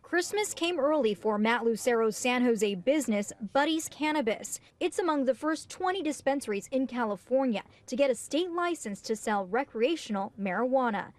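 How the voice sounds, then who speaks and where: High-pitched American female news reporter. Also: expressive, normal speed, hardly any pauses. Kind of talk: scripted news report voice-over.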